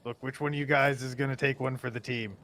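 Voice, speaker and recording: A man with a creaky voice, bantering and roleplaying casually as a player in a tabletop game. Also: mid-range voice, fairly even intonation, quick pace, fairly bright tone, a little hiss or hum.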